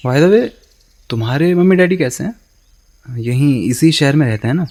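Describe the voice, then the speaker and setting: Wry heartbroken young Darpan. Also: male voice, a little high, animated, moderate pace, darkish tone. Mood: casual. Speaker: radio drama actor. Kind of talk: radio play acting.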